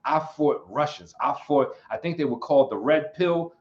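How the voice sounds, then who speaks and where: A man hosting his own livestream in a relaxed, rambling way. He chuckles often and reads chat comments aloud. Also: fairly high pitch, normal speed. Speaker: channel host. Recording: slightly dull lo-fi sound.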